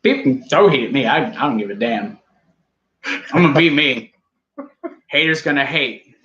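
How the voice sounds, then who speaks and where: A man's voice: mid-range voice, lively intonation, moderate pace, a bit bright, echoey room, lo-fi sound. A man casually reviewing a wrestling show, rambling and joking as he argues with his co-host. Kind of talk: casual live review chat.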